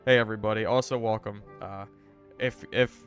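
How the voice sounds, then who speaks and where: A man's voice: fairly low pitch, fairly quick, soft background music. A man streaming a game, chatting casually with viewers while he recovers from being sick, his voice a bit worn. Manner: rambling, relaxed, greets chat viewers. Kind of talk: casual gaming stream chat.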